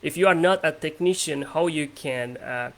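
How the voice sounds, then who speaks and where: A man in his twenties from Indonesia, hosting English speaking practice in a relaxed tone with lots of fillers. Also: fairly high pitch, moderate pace. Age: 20s. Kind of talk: casual conversation practice.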